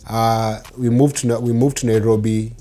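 A Kenyan man in his thirties, telling his childhood story in an interview, mixing in Swahili, animated. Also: medium pitch, moderate pace, soft background music. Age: 30s. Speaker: interview guest. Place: living room studio set, seated in armchair.

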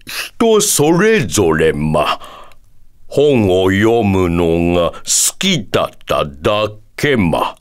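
A man voicing a solemn, long-lived devil in a Japanese video game, pompous and drawn-out, with a quirky verbal tic at sentence ends. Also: on the low side, exaggerated voice. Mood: calm, instructive.

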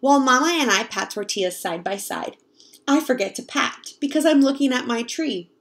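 A young woman reading a picture book aloud to children in an expressive, explanatory way. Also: high voice, normal speed. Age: young adult. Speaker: read-aloud storyteller, teacher. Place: home kitchen, indoors.

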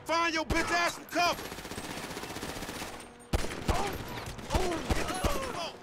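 A cocky, foul-mouthed young hustler. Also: male voice, very high-pitched, very animated, slow pace, very noisy, heavily acted. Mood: urgent. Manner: cocky, profane street slang. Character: streetwise young male car repo hustler. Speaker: video game protagonist. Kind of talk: game cutscene voice acting. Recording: loud game score and gunfire behind.

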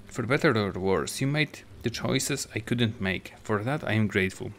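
A man's voice: fairly low pitch, normal speed, fairly bright tone, a little hiss or hum. A man reading out the lines of a grieving, bitter middle-aged mother from a game, in a plain reading voice. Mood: sorrowful, bitter.

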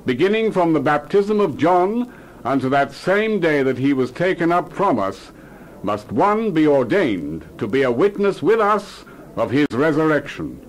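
An actor playing a commanding, fervent apostle who preaches solemnly in a dramatized Bible reading. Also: male voice, fairly high pitch, sing-song, a little slow, low-quality recording. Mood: solemn, urgent. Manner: declamatory, solemn.

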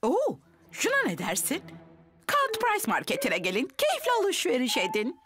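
A woman's voice: high voice, huge pitch swings, moderate pace, background noise. A woman voicing an eager, flustered mother and shopkeeper in a children's cartoon dub, over-keen and given to chanting.

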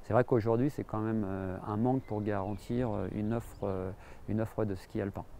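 A man's voice: fairly low pitch, fairly even intonation, moderate pace, warm tone, slight background noise. A middle-aged man, giving an interview outdoors and searching hesitantly for his words. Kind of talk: documentary interview. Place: outdoors on a mountain terrace.

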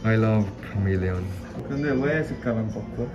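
Teasing young man, slow easy talk. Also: on the low side, highs cut off, over loud music. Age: young adult. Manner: teasing, joking, laughs often. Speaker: vlogger's friend. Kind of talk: casual chat. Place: indoor animal cafe.

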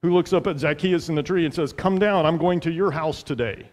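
A middle-aged male pastor preaching with earnest emphasis, his voice sitting a little high for a man. He leans on repeated phrases to drive a point home. A headset mic catches him, with a long reverb trailing off the church hall. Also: a little fast. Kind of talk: sermon. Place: large church sanctuary, at a pulpit.

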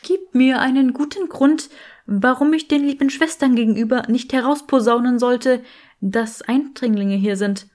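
Woman doing a chatty dead saint skull, cooing and flirty, highish voice, very theatrical storybook character. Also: normal speed, a little mellow, close to an everyday voice. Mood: haughty. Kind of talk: audiobook character acting.

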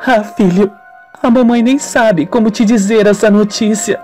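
A man's voice: sits high, steady tone, normal speed, a little mellow, soft background music, pitched above the performer's own voice, natural voice. A man voicing an emotional, tender young mother in distress, in an amateur radio soap opera. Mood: sorrowful. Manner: plaintive, emotional.

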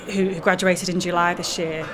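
A woman's voice: fairly low pitch, little pitch movement, moderate pace, crowd noise. A woman in her forties speaking in an interview as a lecturer and writer, recorded in a large indoor hall. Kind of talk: interview about own film. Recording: noisy room with background ambience.

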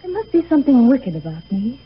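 An actress playing a tender, sorrowful young sweetheart in earnest 1930s radio style. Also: female voice, a little high, very animated, brisk, husky, heavily acted. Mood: earnest, sad. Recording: muffled 1930s radio broadcast.

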